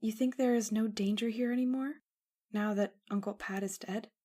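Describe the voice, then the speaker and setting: A woman's voice: medium pitch, steady tone, moderate pace, dark tone, natural voice. Radio drama: anxious young woman played by a woman, earnest, emotional. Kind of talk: old-time radio drama acting.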